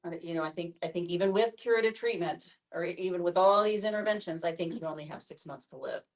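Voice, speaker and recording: Low, creaky-voiced woman explaining. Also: fast talker. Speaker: palliative care professional. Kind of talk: explaining to a discussion group.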